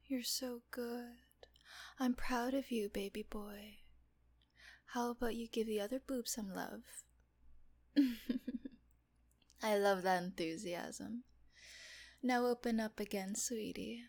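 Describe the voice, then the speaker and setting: A woman voicing a teasing, doting female nurse in an intimate audio roleplay, talking softly and often in a whisper. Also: a little high.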